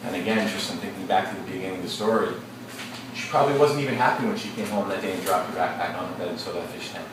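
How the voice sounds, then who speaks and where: Deep-voiced man reading aloud in an echoey classroom. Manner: slow, deliberate storybook reading with pauses to think aloud. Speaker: teacher. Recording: echoey classroom from far off, background noise.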